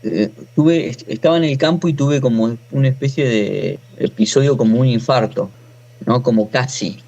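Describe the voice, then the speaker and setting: Argentine man, halting, in dull lo-fi sound. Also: mid-range voice, gravelly, dark tone. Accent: Argentine, from Buenos Aires. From Buenos Aires, Argentina. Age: 40s. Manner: halting, often breaks off and rephrases. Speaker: interview guest, natural farmer. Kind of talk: informal personal storytelling.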